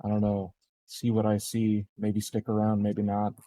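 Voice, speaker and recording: A man's voice: lowish voice, completely flat delivery, normal speed, warm tone. A man talking casually as a player in a tabletop game. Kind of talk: casual chat.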